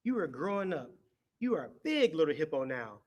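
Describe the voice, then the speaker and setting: A kind mother hippo from a children's storybook, read by a man. The delivery is gentle and reassuring, in a slightly high register, praising her little one. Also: very animated, fairly quick, muffled recording. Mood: proud, loving.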